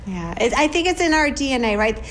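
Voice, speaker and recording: A woman hosting a podcast interview, affirming her guest and reflecting aloud in a relaxed, thoughtful way. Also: sits high, fast talker, a little hiss or hum. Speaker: podcast host. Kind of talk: casual interview chat.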